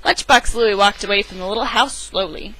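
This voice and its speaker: A woman's voice: mid-range voice, a little fast, sharp tone, rough recording. A woman giving a theatrical, emphatic read-aloud of a children's picture book, as an amateur storyteller on a webcam microphone.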